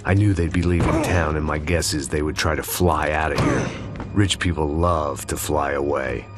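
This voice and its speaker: A hard-bitten, middle-aged American antihero in a video game. His voice is extremely low and he narrates with bitter, deadpan self-mockery. Loud music plays underneath. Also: moderate pace. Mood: grim. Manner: world-weary, sardonic, deadpan. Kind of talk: scripted noir voice-over narration.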